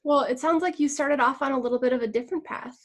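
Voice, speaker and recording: A woman's voice: sits high, quick pace. A woman hosting a conversational interview, polite and affirming as she asks questions. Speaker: interview host.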